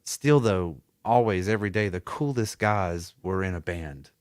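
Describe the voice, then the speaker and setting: A middle-aged man with a Southern American accent and a low voice, recorded close and dry in a music studio. He tells stories from his youth in an easy, rambling way and laughs often. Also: moderate pace, no echo. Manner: relaxed storytelling, laughs often. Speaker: musician guest. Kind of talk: casual interview.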